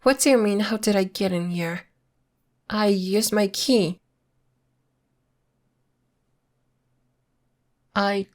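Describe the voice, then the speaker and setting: A woman's voice: medium pitch, close to an everyday voice. A woman acting in an ASMR roleplay as a playful, evasive girlfriend who is secretly a witch, talking intimately in a coy, teasing, casual way. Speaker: voice actor.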